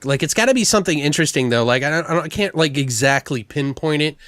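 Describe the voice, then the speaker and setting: A man co-hosting a podcast, casually brainstorming a comedic horror story in a crude, animated, sweary way. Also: a little high, fast talker, no echo, studio quality. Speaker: podcast co-host.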